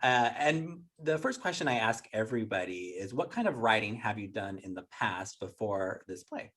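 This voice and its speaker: A man's voice: lowish voice, big pitch swings, moderate pace, a bit bright. A man with an American accent hosting a podcast interview in an upbeat style. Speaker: interview host, workshop facilitator.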